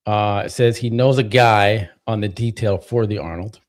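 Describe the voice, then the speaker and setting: A man hosting a live stream, relaxed and chatty, often saying "you know" and "right?". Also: lowish voice, normal speed. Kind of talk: casual live-stream chat.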